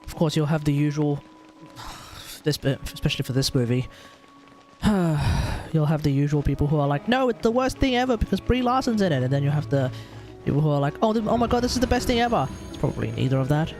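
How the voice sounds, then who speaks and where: A man's voice: a little high, lively intonation, fast talker, darkish tone, faint music behind. A man with an Australian accent hosting a game livestream in casual, rambling, hesitant chat. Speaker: livestream host, gamer.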